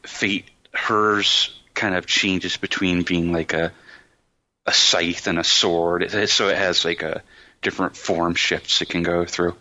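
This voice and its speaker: A man's voice: sits low, little pitch movement, takes it slowly, sharp tone, no echo. A man talking casually and emphatically as a podcast host, explaining things with colloquial enthusiasm. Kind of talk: casual anime discussion.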